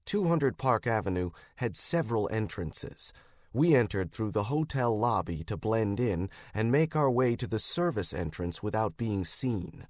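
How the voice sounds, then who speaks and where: An American man narrates a detective audiobook in the first person. His delivery is wry and highly animated, with wide swings of pitch, recorded dry and close in a booth. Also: a little slow, no echo.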